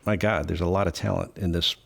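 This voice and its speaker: Low male voice, gravelly and husky, jovial, casual podcast chat. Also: steady tone, quick pace. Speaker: comedy podcast cast member.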